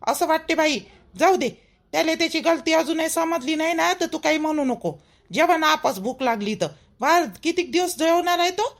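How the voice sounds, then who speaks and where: A woman's voice: extremely high pitch, moderate pace, creaky. A woman playing a protective middle-aged village mother-in-law in a cartoon, chatty and emphatic, with a Vidarbha Marathi accent. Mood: reassuring, firm. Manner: chatty, emphatic, repeats herself. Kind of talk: homely cartoon drama dialogue.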